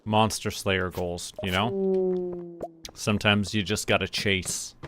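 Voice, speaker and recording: A man from Canada doing relaxed, casual commentary as he plays a video game, often muttering to himself. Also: on the low side, slow pace. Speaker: streamer playing a video game. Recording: clear background noise with clicks.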